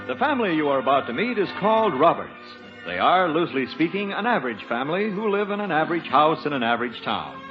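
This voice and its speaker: A man's voice: fairly high pitch, big pitch swings, quick pace. A man with an American accent announcing and narrating a scripted 1950s radio show. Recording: dull old radio broadcast, loud music, audience.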